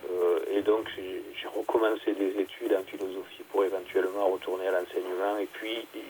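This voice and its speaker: A middle-aged pastor and theologian speaking in a radio interview, halting and hesitant, with many filler sounds and restarts. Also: male voice, low-pitched, level tone, darkish tone, rough recording. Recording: faint background noise.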